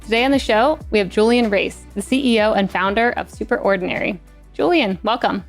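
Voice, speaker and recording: A woman hosts a business podcast. She is upbeat and friendly and talks conversationally, even when she reads a prepared intro. Her voice sits in a middle range. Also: medium pitch, brisk, faint music behind. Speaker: podcast host. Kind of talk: scripted intro and casual interview chat.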